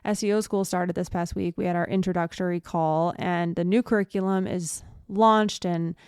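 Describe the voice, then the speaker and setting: A woman's voice: fairly low pitch, steady tone, normal speed, a little mellow, smooth, no room sound, studio quality. A young adult woman hosting a solo podcast, chatting casually and rambling with fillers and restarts, sounding a bit stuffy and nasal. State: congested. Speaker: podcast host.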